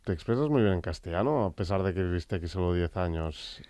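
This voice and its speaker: Low-voiced male interviewer. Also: lively intonation, dark tone, no room sound. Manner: measured, searching for words. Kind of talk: interview questions.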